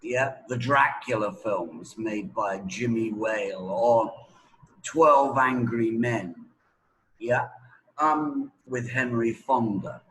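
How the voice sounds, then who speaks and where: A middle-aged man talking anecdotally as an English teacher, deliberate and emphatic, with dramatic pauses and repetition. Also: medium pitch, a bit bright, rough recording. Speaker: English teacher being interviewed. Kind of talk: anecdotal spoken talk.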